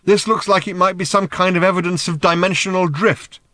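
A man voicing a brash, excitable male space traveller in an audiobook, speaking loudly and emphatically. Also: sits high, quick pace, no echo, clean studio sound, pitched above the performer's own voice. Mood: shouting. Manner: loud, emphatic.

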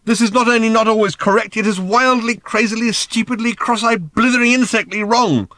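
A man's voice: mid-range voice, big pitch swings, brisk, no room sound, voice pushed up. A man reading a comic science fiction audiobook, voicing a world-weary, exasperated man who rants in sarcastic, flustered bursts. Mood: despairing rant. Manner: bitingly sarcastic, hesitant, ranting in long flustered bursts.

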